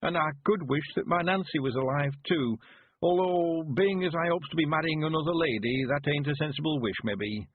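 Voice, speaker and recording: A man voicing a cheerful, frail 91-year-old man, quavering and slow, rustic and stubborn. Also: fairly high pitch, breathy edge, pitched above the performer's own voice. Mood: wistful. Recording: phone-like lo-fi sound.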